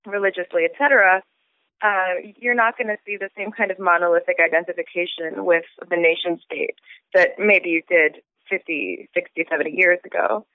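A woman's voice: fairly low pitch, moderate pace, dry recording. A woman in her thirties, raised in Switzerland, talking casually about her book in an interview, thinking aloud with fillers and restarts. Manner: thoughtful, frequent fillers and restarts. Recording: tinny phone-like sound.

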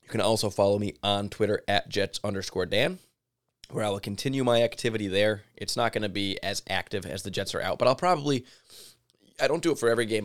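A man hosting a casual solo podcast, chatty and excitable, his voice a little raspy from yelling. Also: on the low side. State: slightly raspy from yelling at a game. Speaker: podcast host. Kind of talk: solo sports podcast talk.

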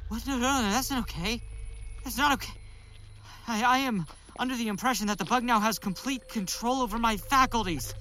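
A man's voice: extremely high pitch, fairly quick, sharp tone, rough recording. A man playing a drug researcher who is losing control of his mind, stammering and pleading in an audio drama. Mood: disoriented, alarmed. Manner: stammering, panicky, breathless pleading. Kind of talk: audio fiction acting.

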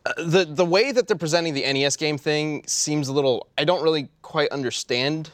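A man's voice: a little high, brisk, fairly bright tone, dry recording. Man chatting on a podcast, dry sound. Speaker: podcast co-host. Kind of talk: casual podcast chat.

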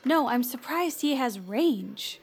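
An actress playing an eager, chipper young aide in a comedic audio drama, polite, perky and candid. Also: female voice, on the high side, animated, slow, noisy background. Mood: surprised.

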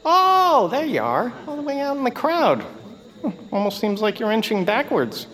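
Fussy washed-up bird radio actor, rambling, swooping pitch, audio drama. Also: male voice, wildly swooping pitch, normal speed, fairly bright tone, full character voice. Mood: awkward. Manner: rambling, flustered, theatrical.

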